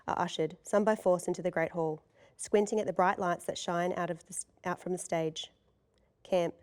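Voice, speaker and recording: A woman's voice: fairly low pitch, darkish tone, smooth. A woman reading scripted stage directions as the narrator of a table read.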